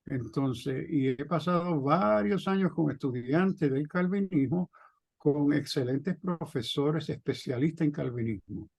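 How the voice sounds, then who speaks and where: A man in his seventies from New York, teaching theology in a deliberate, emphatic way with pauses and self-corrections, on dull audio. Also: a little high, creaky, a little mellow, no echo. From New York, of Puerto Rican parents. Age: 70s. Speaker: theologian, pastor and guest lecturer. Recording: dull, band-limited audio.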